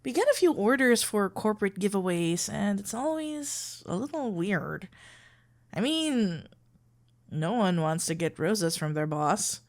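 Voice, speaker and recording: A woman's voice: mid-range voice, expressive, measured pace. A woman playing a sweet, flustered florist best friend in an ASMR roleplay, playful and awkwardly hesitant. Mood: friendly, frazzled. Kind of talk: ASMR roleplay acting, one-sided dialogue.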